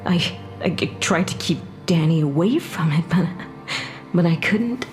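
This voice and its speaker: Slow-spoken, emotional ghost of a murdered mother. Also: fairly low pitch, animated, music in the background. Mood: grieving, bitter. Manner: emotional, sharp-tongued, halting when upset. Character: ghost of murdered young mother, indignant and grieving. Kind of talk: dramatic audio fiction acting.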